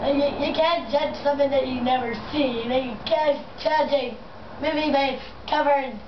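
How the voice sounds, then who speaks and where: A young woman hosting a homemade puppet show, improvising in a halting, rambling, enthusiastic way. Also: high-pitched, fairly even intonation. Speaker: amateur puppet show host. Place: room in a house. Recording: muffled, distant, noisy echoey home video.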